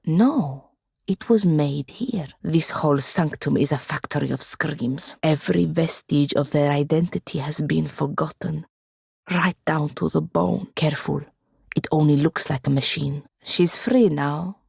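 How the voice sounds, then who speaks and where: A woman's voice: low-pitched, a little slow, gravelly, a little mellow, breathy edge, dry recording, full character voice. A woman voicing a sardonic, haughty female wizard in a video game, with a dry, disdainful and articulate delivery. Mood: grave, dry. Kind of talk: video game character dialogue.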